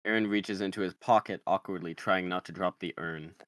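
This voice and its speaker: A man's voice: low voice, steady tone, a little fast, a bit bright, dry recording, studio quality. A man reading screenplay stage directions as scripted narration.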